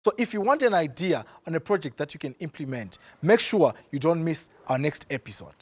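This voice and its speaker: A man's voice: fairly high pitch, big pitch swings, fairly quick. A young man from Zimbabwe presenting a youth climate series in a playful, upbeat scripted way. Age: young adult.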